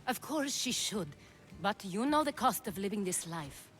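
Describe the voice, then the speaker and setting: A woman's voice: medium pitch, animated, moderate pace, fairly bright tone, slight background noise, natural voice. An actress playing a composed, persuasive Athenian noblewoman, firm and defensive, in a dramatic video game cutscene.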